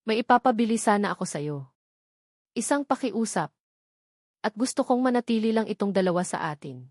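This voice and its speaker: A woman narrator plays a meek young woman living in fear in a romantic audio drama. She is polite, anxious and hushed. The recording is dry. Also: medium pitch, animated, fairly quick, dry recording, close to an everyday voice. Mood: secretive pleading. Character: frightened, meek young woman in a rich household.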